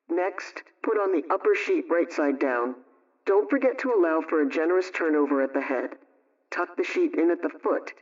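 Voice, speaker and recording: Higher-voiced man on dull old radio. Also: a little mellow, distant mic. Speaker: household advice program host. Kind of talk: scripted old-time radio homemaking chat.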